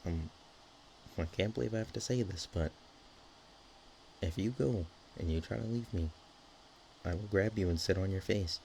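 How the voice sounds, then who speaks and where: Man playing a low, creaky, flustered dragon girl. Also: mellow, low-quality recording. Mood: teasing, threatening. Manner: hesitant, stammering, halting. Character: flustered, bashful dragon girl, teasingly threatening, affectionate. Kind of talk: intimate ASMR roleplay. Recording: faint background noise.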